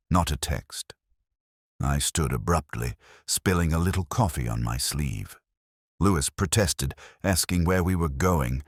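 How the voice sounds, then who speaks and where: A man playing an introspective older police detective, narrating a mystery audio drama from his notebook in a measured, literary way. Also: extremely low pitch, no room sound, clean studio sound, exaggerated voice. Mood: wry, intent. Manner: brooding, measured, literary delivery.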